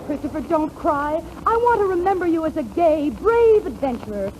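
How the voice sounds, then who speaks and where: A woman playing a grand, sentimental noblewoman in a 1940s radio melodrama parody, slow, tender and theatrical. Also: high voice, big pitch swings, darkish tone. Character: grand, sentimental noblewoman bidding farewell to adventurer. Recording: faintly noisy 1940s radio broadcast.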